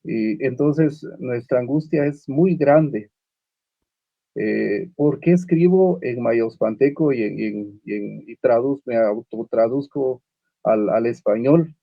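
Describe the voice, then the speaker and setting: A man from Guatemala whose first language is Uspanteko, speaking on a literary panel with many pauses, fillers and restarts. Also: mid-range voice, creaky, dry recording, muffled recording. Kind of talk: unscripted panel talk.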